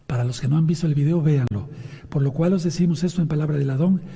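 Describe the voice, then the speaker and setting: A man preaching and teaching from scripture in a hoarse voice, addressing his listeners directly with questions and instructions. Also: a little high, fast, warm tone. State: hoarse voice from sinusitis after an operation. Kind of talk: sermon with scripture reading and commentary. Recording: long reverberant hall sound.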